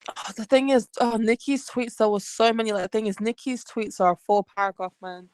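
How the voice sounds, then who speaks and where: A woman's voice: fairly high pitch, fairly quick, a little mellow, no room sound. A woman chatting casually as a gossip panelist, pointed and challenging, often repeating herself for emphasis.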